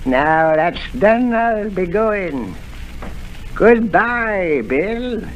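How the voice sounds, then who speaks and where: An actor playing a sinister, mocking blind old beggar pirate in a 1930s radio drama. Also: male voice, sits high, dull audio, background noise, exaggerated voice. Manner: slow, menacing, taunting.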